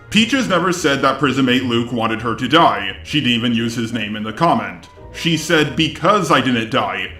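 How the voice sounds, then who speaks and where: A synthetic male voice with a robotic quality and a medium pitch delivers scripted commentary, rebutting point by point in an argumentative way. The sound is noticeably echoey, with music faintly playing behind it. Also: fairly bright tone. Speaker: commentary video host.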